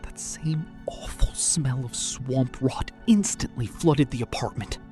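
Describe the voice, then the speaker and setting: A man's voice: mid-range voice, measured pace, mellow, faint music behind. Tense horror narrator, man. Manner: tense, dropping to whispers. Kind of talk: first-person horror story narration.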